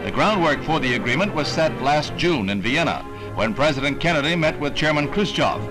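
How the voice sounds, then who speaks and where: A man's voice: on the high side, animated, brisk. A man with an American accent reading scripted 1960s newsreel narration in a formal, dramatic announcer's delivery. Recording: vintage newsreel soundtrack with music.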